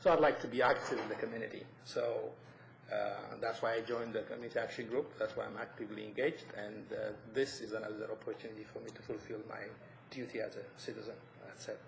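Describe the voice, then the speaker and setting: A man from Ethiopia with an Ethiopian accent, giving an earnest, halting personal statement at a public meeting. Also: lowish voice, steady tone, creaky, crowd noise. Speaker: resident applying for committee. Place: indoor council meeting room. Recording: echoey meeting room, faint noise.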